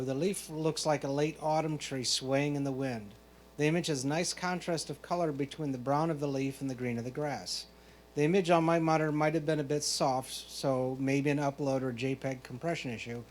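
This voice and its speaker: A man's voice: fairly high pitch, fairly quick. Man reading critiques over noisy hum. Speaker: photo competition judge. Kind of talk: reading out prepared photo critiques. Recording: clear background noise, hum.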